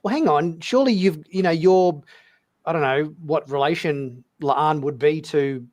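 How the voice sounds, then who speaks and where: A man's voice: fairly high pitch, moderate pace, a little mellow. A man hosting a casual discussion, feeling his way through roundabout questions in a hesitant manner. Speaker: talk show host.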